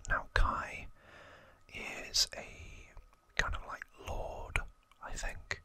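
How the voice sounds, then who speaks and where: Slow, hushed ASMR whisperer. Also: no room sound. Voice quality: breathy unvoiced whisper. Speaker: ASMR video host. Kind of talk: ASMR whispered trivia narration.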